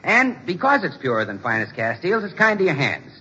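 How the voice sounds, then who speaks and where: Very bright, hearty radio pitchman. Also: wildly swooping pitch, a little fast, breathy edge, full character voice. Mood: jovial, salesy. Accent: American. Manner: hearty, polished salesman's delivery. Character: jovial soap-obsessed announcer pal, relentlessly salesy. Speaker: announcer and comic sidekick. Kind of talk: 1940s radio announcing and sponsor patter. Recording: muffled, hissy 1940s radio recording.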